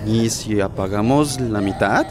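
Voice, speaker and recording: An actor playing a troubled, soft-hearted police guard in a radio drama, speaking in a hesitant, questioning way. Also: male voice, on the low side, measured pace, noisy background, lightly acted. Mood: uneasy.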